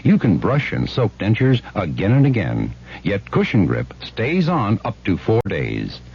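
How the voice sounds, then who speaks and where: A man's voice: lowish voice, huge pitch swings, a little slow, vocal fry, darkish tone, slight background noise. A man delivering a scripted radio commercial as an announcer. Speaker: advertising announcer. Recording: dull old radio recording.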